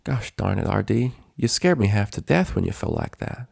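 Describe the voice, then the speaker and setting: A man's voice: lowish voice, very animated, normal speed, gravelly, a little mellow, breathy edge, no echo, studio quality, heavily acted. A man voicing a stoic, folksy female farm pony in a story reading. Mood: relieved, stoic.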